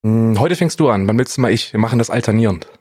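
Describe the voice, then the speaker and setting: A man's voice: lowish voice, expressive, fast, dark tone, husky, no echo, clean studio sound. A man co-hosting a casual comedy podcast, joking in a sardonic, slangy way. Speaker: podcast co-host.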